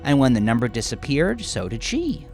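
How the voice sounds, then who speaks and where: A man's voice: mid-range voice, big pitch swings, moderate pace. Brooding male horror narrator over music. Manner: confessional, brooding, often hushed to a near-whisper. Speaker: author and narrator of horror story. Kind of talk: first-person dramatic horror narration.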